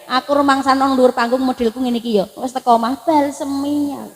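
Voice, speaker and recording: A woman's voice: sits high, steady tone, fast. A woman in her forties with a Javanese accent, giving a comic sermon from a stage, joking and teasing the crowd. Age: 40s. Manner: joking, chatty, teases the crowd, breaks into song. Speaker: preacher. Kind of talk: comic sermon at a religious gathering. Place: on a stage at an event.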